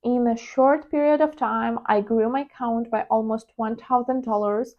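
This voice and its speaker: Higher-voiced Ukrainian-accented woman, candid. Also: steady tone, moderate pace, highs cut off. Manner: candid, confessional, with hesitant fillers. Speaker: trading vlogger. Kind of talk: personal reflective monologue.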